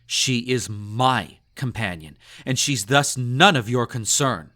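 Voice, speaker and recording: Man playing a slow-talking, haughty, possessive emperor. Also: medium pitch, expressive, sharp tone. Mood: jealous, commanding. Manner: haughty, purring, condescending, deliberate. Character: snobby possessive male emperor, indulgent and menacing. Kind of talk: intimate scripted romantic audio roleplay.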